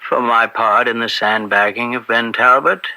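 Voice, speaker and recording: An actor playing a smooth, evasive crooked politician in old-time radio drama, florid and placating, with hesitations. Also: male voice, mid-range voice, fairly even intonation, normal speed, pushed and bright, rough recording. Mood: contrite. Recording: vintage radio drama broadcast.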